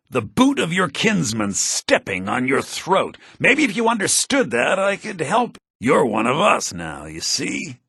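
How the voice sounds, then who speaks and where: An actor playing a bitter, vengeful rebel leader from a prison mine in a fantasy video game, raspy and menacing, declaiming his lines. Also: on the high side, no echo, studio quality, heavily acted. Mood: cold, challenging. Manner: menacing, declamatory, swinging to shouts.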